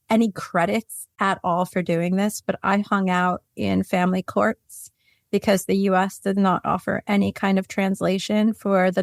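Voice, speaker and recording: A woman's voice: medium pitch, vocal fry, no room sound, clean studio sound. A woman from Puerto Rico, a career coach, telling her story as an interview guest in a reflective, easygoing way. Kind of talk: conversational interview answers.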